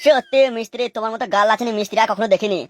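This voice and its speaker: Woman as a bossy cartoon mason, rapid speech, dry close sound, crude and nagging. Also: a little high, dry recording, close to an everyday voice. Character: scheming, bossy adult male mason crony. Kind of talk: comic cartoon dialogue.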